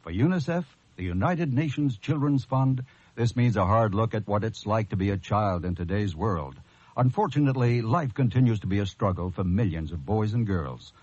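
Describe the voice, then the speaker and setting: A man's voice: on the low side, animated, normal speed, darkish tone, slightly rough, dry recording. A man reading a scripted public service announcement in an earnest, composed way. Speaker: charity announcement narrator. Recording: dull, dry radio broadcast.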